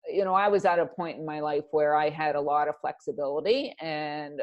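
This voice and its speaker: Lower-pitched woman in her fifties, reflective. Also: brisk, lo-fi sound. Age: 50s. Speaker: interview guest. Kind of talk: conversational radio interview.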